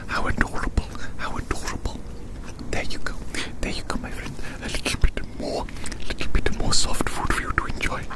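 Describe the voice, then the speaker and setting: Asmr person in their 30s, all soft whisper, gentle rambling, says stuff over and over. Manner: gentle, unhurried, repeats phrases over and over. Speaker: ASMR host. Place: outdoors.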